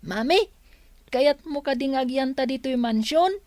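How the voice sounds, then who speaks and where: A woman's voice: high voice, normal speed, darkish tone, no echo, close to an everyday voice. A woman playing a wide-eyed, eager young boy with a childlike way of talking in an audio drama. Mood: eager, wondering.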